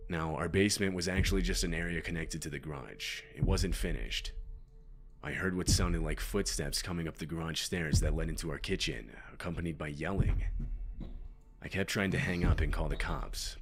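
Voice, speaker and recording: A man's voice: a bass voice, a little fast, noisy background. A man reading scripted scary stories as a narrator, with music behind him. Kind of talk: scripted horror story narration. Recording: music bed under the voice. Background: background music.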